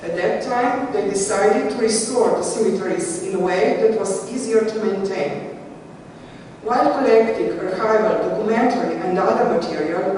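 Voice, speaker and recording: A woman's voice: medium pitch, a little mellow, a little hiss or hum. A woman with a Slovenian accent, a conservator giving a conference presentation in English, hesitant with many fillers and restarts. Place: conference hall. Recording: echoey hall heard from far off.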